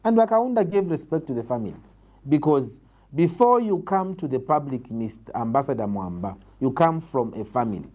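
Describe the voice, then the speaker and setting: A man with a Zambian accent answering political interview questions in a measured, formal, emphatic way. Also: medium pitch, very animated, warm tone. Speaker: political interviewee, party leader.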